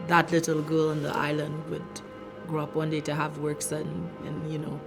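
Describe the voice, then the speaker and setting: Middle-aged Trinidad-born woman, low voice, unscripted reflective interview with faint music underneath. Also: vocal fry. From Trinidad and Tobago. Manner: thoughtful, many fillers and restarts. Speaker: filmmaker, arts director.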